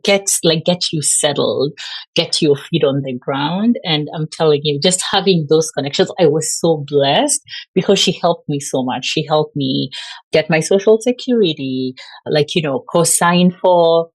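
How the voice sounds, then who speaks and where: A woman's voice: sits low, measured pace, slightly rough, no room sound, rough recording. A woman from Kenya with a Kenyan accent, a physician guest talking casually in an interview and using lots of "like" fillers. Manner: chatty, frequent "like" fillers. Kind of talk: conversational interview.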